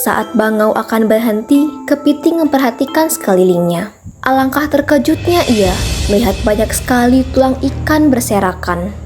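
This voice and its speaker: A woman's voice: a little high, animated, moderate pace, music in the background. A woman narrating an animal fable as scripted storytelling.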